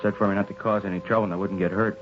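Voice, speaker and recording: Quick, plain-spoken American policeman played by a man. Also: lowish voice, steady tone, close to an everyday voice. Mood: matter-of-fact. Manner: matter-of-fact, conversational. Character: plain-spoken male desk policeman, unruffled and candid. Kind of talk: 1950s radio drama acting, naturalistic dialogue. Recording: dull, hissy 1950s radio recording.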